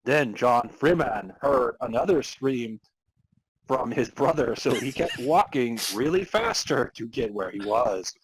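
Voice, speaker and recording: A man's voice: medium pitch, lively intonation, measured pace, slightly rough, low-quality recording. A man reading amateur fan fiction aloud as narrator, in a mock-serious dramatic storytelling style. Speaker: podcast host reading as narrator.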